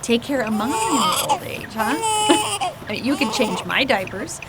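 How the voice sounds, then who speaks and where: A playful young mother in an audio drama, cooing and chatting. Her voice is extremely high and unhurried with big swings in pitch. Loud vehicle noise surrounds her. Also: very animated, full character voice. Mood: playful, doting.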